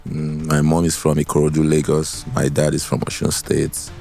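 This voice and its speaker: Nigerian male, very low bass, relaxed podcast storytelling with some Pidgin, music underneath. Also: moderate pace, vocal fry. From Port Harcourt, Nigeria. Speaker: guest musician, singer. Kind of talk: casual storytelling in interview. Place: podcast studio.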